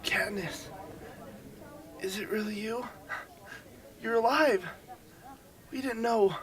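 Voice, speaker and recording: A woman's voice: on the high side, takes it slowly. A woman playing an awestruck, hopeful wounded civilian in an amateur radio drama. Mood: awed, hushed. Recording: distant from the microphone.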